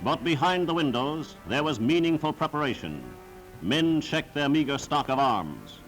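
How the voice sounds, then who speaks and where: A man's voice: fairly high pitch, lively intonation, moderate pace, music in the background, rough recording. A man narrating a scripted 1940s wartime newsreel in a solemn, formal, declamatory style, on a vintage film soundtrack.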